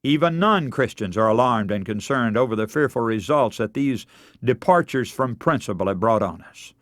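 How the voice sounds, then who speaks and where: American male preacher for a scripted radio sermon; formal and emphatic, widely varied intonation, mid-range pitch, very little room sound. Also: animated, normal speed, no echo, studio quality. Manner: formal, emphatic oratorical delivery. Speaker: radio preacher and broadcast host.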